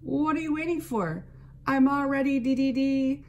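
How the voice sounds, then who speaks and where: A woman's voice: a little high, expressive, measured pace, slight background noise, pitched above the performer's own voice. A woman voicing a cheerful little chickadee who talks in a sing-song way with chirping syllables. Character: cheerful tiny chickadee bird, bold and bubbly.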